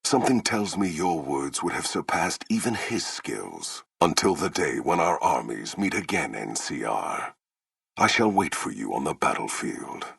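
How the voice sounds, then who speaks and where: Towering game warlord: extremely low, husky rumble, grim and proud, commanding, with big dramatic swings in intonation. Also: male voice, a bit bright, dry recording, studio quality, heavily acted. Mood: solemn respect. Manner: solemn, commanding, formal and portentous speech. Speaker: video game voice actor. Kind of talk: theatrical video game villain acting.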